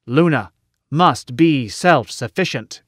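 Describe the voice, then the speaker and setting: A man's voice: medium pitch, lively intonation, slow, dry recording, clean studio sound. A man voicing an impassioned male political orator in an audiobook, declaiming a rousing speech with heavy emphasis. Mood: fervent, urgent.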